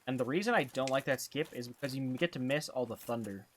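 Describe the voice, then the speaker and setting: A man's voice: mid-range voice, quick pace. A man with a Canadian accent giving casual live gameplay commentary, rambling and slangy as he thinks aloud. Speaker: game streamer.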